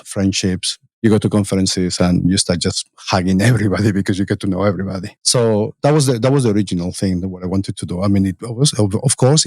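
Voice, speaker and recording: A man's voice: lowish voice, a little mellow, no echo. A man from Spain with a Spanish accent, an agile coach and conference organizer talking as a podcast guest, thoughtful and full of restarts. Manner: thoughtful, many restarts and fillers. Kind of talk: conversational interview. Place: conference venue.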